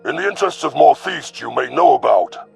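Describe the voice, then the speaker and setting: A man voicing a cruel, arrogant young alien predator commander in an audio story, with a growling, gravelly voice and haughty, formal menace. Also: on the high side, lively intonation, brisk, soft background music, voice pushed up. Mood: disdainful.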